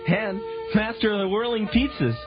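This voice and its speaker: An actor playing a goofy, pizza-crazed teenage turtle with a husky voice and boisterous delivery in a children's audio drama. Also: male voice, sits high, moderate pace, muffled recording, music in the background, close to an everyday voice. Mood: gleeful.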